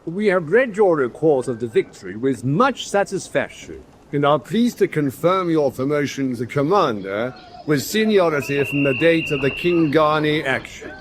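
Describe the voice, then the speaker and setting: Man playing stiff british navy superior reading a letter, lively highish voice, faint noise behind. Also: moderate pace, lightly acted. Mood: formal approval. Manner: formal, official. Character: formal British naval superior dictating an official letter. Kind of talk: radio drama acting, letter reading.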